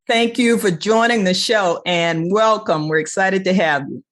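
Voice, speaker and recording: A woman's voice: medium pitch, unhurried, music in the background. A woman hosting a conversational interview show, chatty and encouraging, with affirming asides. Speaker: show host.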